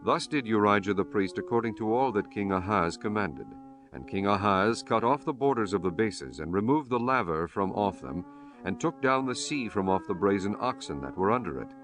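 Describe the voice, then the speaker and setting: A man narrating a dramatized Bible audiobook in a solemn, measured, formal way. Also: fairly low pitch. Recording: soft music bed under the voice.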